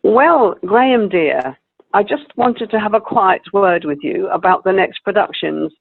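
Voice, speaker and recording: A woman's voice: medium pitch, expressive, measured pace, vocal fry, husky, exaggerated voice. A woman playing a sweet, chatty old lady in a comic radio play, genteel and a little wistful. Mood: hopeful. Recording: tinny narrow-band video call audio.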